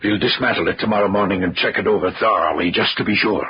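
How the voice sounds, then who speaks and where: A man's voice: fairly low pitch, quick pace, breathy edge. A man playing a curt, stubborn scientist in charge, firm and dismissive, in an old radio thriller. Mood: brisk, controlled. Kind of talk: 1950s radio thriller acting. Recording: muffled old radio recording.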